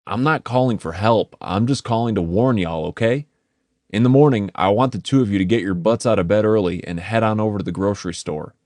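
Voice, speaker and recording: A man doing a frantic, shouting man. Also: fairly low pitch, fairly quick, dry recording, studio quality, close to an everyday voice. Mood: urgent, pleading. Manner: shouting, urgent, swears. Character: frantic man warning family of rising dead. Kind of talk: audiobook character voice.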